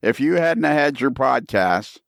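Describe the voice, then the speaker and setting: A man's voice: medium pitch, expressive, unhurried, gravelly, no room sound, studio quality. A man hosting a casual podcast interview with blunt, teasing banter. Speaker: podcast host.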